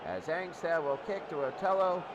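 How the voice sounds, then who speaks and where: A male play-by-play football announcer with a slightly high-set voice. He gives live commentary on each down, with very loud noise all around him. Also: moderate pace, lo-fi sound. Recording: very noisy, outdoor-like background din.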